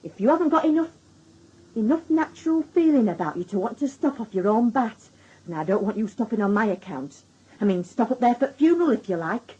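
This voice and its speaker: A woman's voice: on the high side, animated, brisk, warm tone, breathy edge. An actress playing a harried, nagging middle-aged wife and mother with a Northern English accent in a radio drama. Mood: exasperated, scolding. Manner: nagging, exasperated, repeats questions. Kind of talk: naturalistic radio drama acting. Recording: slightly dull, lo-fi radio recording.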